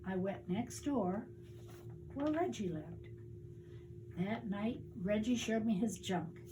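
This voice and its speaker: An older woman, a grandmother, reading a children's storybook aloud in a deliberate, animated storytelling way. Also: medium pitch, background noise.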